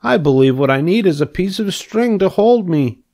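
A man voicing an eager, boastful young male pig in a storybook read-aloud, with excited exclamations. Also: high voice, moderate pace, pitched above the performer's own voice.

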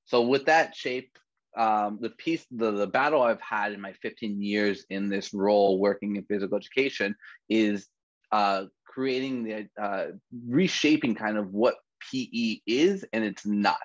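A man in his thirties from the Bay Area, a physical education teacher presenting over slides in a relaxed way, with many fillers and restarts. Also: fairly low pitch, a little slow, no echo. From San Francisco Bay Area. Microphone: video call. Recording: dry, dull lo-fi video call audio.

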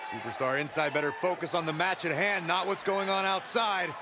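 A man's voice: sits high, a little fast, close to an everyday voice. A man voicing an indignant, critical wrestling commentator in a video game. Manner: critical, emphatic. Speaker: color commentator. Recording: noisy arena crowd behind commentary.

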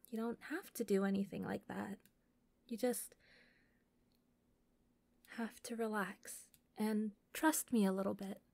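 Woman acting a slow, soft, shy yandere girl. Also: mid-range voice, creaky, dry recording. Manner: soft, hesitant, coaxing, breaking into whispers. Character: shy, sweet, obsessively devoted young female yandere captor. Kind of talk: intimate audio roleplay addressed to the listener.

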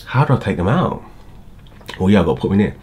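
Thirties male vlogger, low-ish voice, mild aligner lisp, casual chatty delivery at his home desk camera. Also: big pitch swings, fast talker, a little mellow. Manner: chatty, talks while fiddling with his teeth. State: slight lisp from new clear teeth aligners. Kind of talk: casual unboxing vlog. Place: home room by a window.

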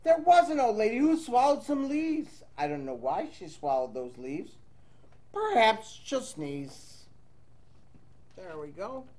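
A man's voice: high-pitched, sing-song, takes it slowly, mellow. A man reading a children's storybook aloud in a playful, sing-song way, with chatty asides to young listeners. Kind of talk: animated read-aloud to children with casual asides.

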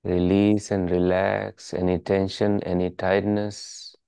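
A meditation teacher leading a guided relaxation in calm, measured, soothing instructions. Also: deep voice, creaky, clear, resonant tone, dry recording, studio quality.

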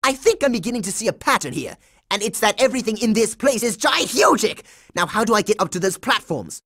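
A man's voice: sits high, big pitch swings, brisk, pushed and bright, full character voice. A man voicing a bossy, heroic boy secret agent in a cartoon video game, dramatic and emphatic with a smug confidence. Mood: determined, musing.

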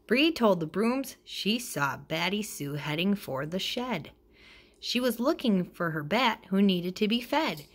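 Woman, children's storybook read-aloud, slow pace, animated intonation, expressive delivery. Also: medium pitch. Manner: expressive, acts out character dialogue. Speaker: storybook reader.

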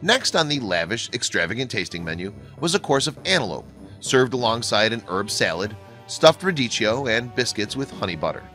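A man reading a scripted video narration with a bright, forward tone. His pitch swings widely and his delivery bounces along. Soft music plays quietly under the voice. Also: moderate pace. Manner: lively, bouncy read with wide pitch swings. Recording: faint music bed behind the voice.

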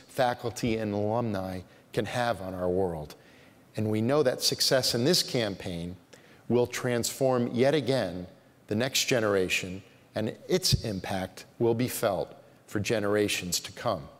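A middle-aged man, a university president, giving a measured, earnest prepared speech from a lectern in a chapel. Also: medium pitch. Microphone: podium microphones. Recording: long hall reverb.

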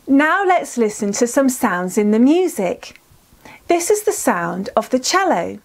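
A woman's voice: on the high side, sing-song, a little slow. A woman in her thirties or forties presenting scripted storytelling for children, enunciating carefully and encouraging listeners.

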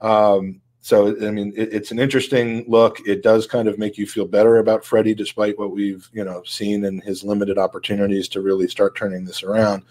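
A man's voice: on the low side, level tone, brisk, few pauses, darkish tone. A middle-aged man hosting a podcast over a video call, asking long, casual, hesitant questions. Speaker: podcast host. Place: indoor room on a video call.

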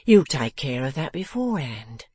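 Woman as a gentle, low-voiced young woman. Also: big pitch swings, normal speed, slightly rough, dry recording, heavily acted. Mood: reassuring. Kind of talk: dramatised storybook reading.

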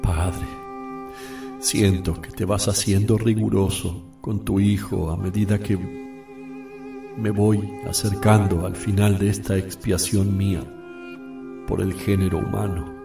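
A sorrowful holy man praying in a radio play, very slow n solemn, low gravelly voice, roomy w/ music. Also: little pitch movement. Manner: solemn, sorrowful, measured with long pauses. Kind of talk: radio drama acting, slow prayerful monologue. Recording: distant, roomy, with loud music behind.

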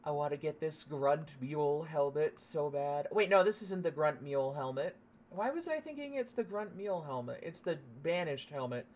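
A woman's voice: sits low, animated, moderate pace, a little mellow. Chatty Canadian woman gaming. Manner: chatty, laughs, swears casually. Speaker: streamer. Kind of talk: casual gameplay commentary.

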